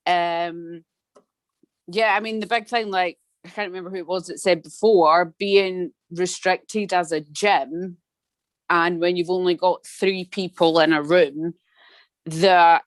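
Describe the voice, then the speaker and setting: A woman's voice: on the low side, no room sound. A woman with a Scottish accent chatting informally and self-deprecatingly as she introduces herself on a video call. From Scotland. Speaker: Pilates studio owner. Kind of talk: casual self-introduction at an online meeting.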